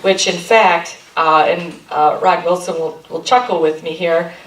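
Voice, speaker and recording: A woman's voice: fairly low pitch, fairly even intonation, unhurried, recorded from a distance. A middle-aged woman from Michigan, a chamber of commerce president giving a friendly, rambling talk to a local group, with many ums. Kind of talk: informal presentation to a local group. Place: meeting room. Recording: echoey room recorded from afar.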